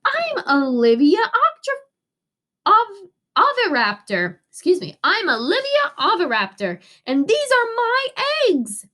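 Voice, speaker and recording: Casting: woman as flustered storybook dinosaur mum, emphatic, very high, swooping pitch. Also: wildly swooping pitch, slow pace, sharp tone, full character voice. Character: Olivia Oviraptor, flustered female winged mother dinosaur in storybook.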